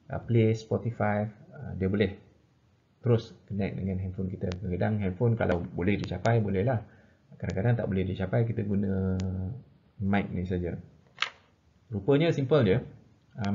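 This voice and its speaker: Casting: Malaysian man, forties, low creaky voice, informal hesitant product explainer who mixes in English terms. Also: warm tone, muffled recording, slight background noise. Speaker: product reviewer. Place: medium-sized indoor office.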